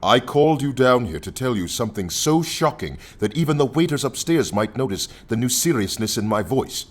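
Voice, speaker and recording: An actor playing an imposing, sardonic anarchist leader in a radio play, speaking with pompous condescension. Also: male voice, mid-range voice, lively intonation, brisk, natural voice. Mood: grand, ominous.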